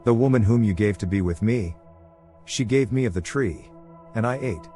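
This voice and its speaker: Guy acting guilty scared first man in bible drama, soft dark tone, lowish, subdued. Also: normal speed, natural voice. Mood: guilty, defensive. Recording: background music under the voice.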